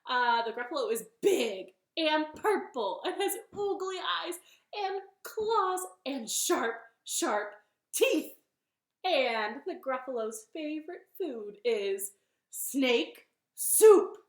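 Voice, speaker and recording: A woman's voice: high voice, big pitch swings, slow pace, voice pushed up, exaggerated voice. A woman voicing a clever little female storybook bunny, hesitant and bluffing, with a secretly proud streak. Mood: nervous bluffing. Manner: hesitant, stalling ums and ohs. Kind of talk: animated children's storytelling. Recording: roomy, echoing sound.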